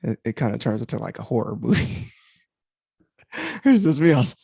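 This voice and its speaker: A man's voice: mid-range voice, sing-song, moderate pace, creaky, dark tone, husky, dry recording. A man hosting a livestream in casual, rambling chat, commenting on his own audio drama and easily amused.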